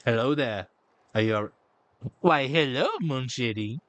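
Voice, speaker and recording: A man's voice: mid-range voice, unhurried, a bit bright, no room sound. A man giving casual commentary as he plays through a game. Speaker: streamer reacting to a game.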